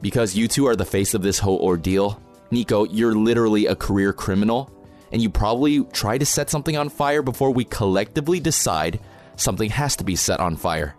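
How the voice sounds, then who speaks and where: A man's voice: lowish voice, a little fast, a little mellow, faint music behind, lightly acted. A man playing a dry, wary protest reporter with a sardonic, blunt manner in a fiction podcast. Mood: blunt concern.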